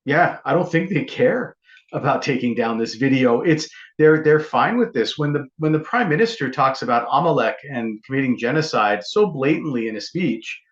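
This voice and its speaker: A man's voice: medium pitch, animated, moderate pace. A man co-hosting a podcast, speaking indignantly in casual talk with many ums. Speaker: podcast co-host. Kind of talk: casual political chat. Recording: dull lo-fi sound.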